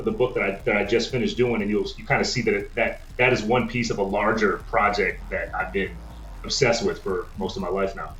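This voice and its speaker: A man's voice: on the low side, a bit bright, reverberant, muffled recording. A man speaking as a guest professor in a casual interview, blunt and profane with self-deprecating humor; his first language is Tagalog. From United States.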